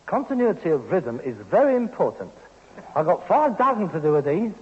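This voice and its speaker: An actor playing a frustrated, self-important London Cockney son with grand ambitions in a 1960s radio sitcom. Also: male voice, high voice, sing-song, a little fast. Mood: dismissive. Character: frustrated, pretentious rag-and-bone man son with grand ambitions. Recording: dull, noisy 1960s radio recording.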